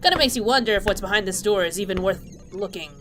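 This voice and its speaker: A woman's voice: medium pitch, fast, sharp tone, soft background music, close to an everyday voice. A woman playing a bold, practical accomplice who makes dry quips in a sci-fi audio drama. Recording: faint noise with vehicles and sirens.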